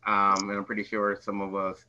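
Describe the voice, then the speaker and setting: A man's voice: on the low side, monotone, brisk, background noise. An American man informally hosting an online event from an office over a video call. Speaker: event host. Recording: slightly dull video-call audio.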